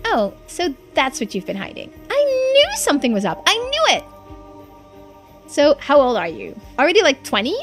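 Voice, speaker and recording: A woman voicing an excitable, trusting teenage boy in a video game, casual and full of exclamations. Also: high-pitched, moderate pace, soft background music. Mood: curious guessing. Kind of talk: voiced visual novel playthrough.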